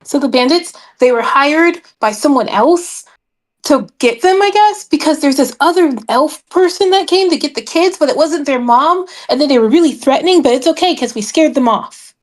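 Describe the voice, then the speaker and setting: A woman with a high voice plays a tabletop fantasy game. She speaks casually, slipping into her character as she explains things to the group. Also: expressive, moderate pace.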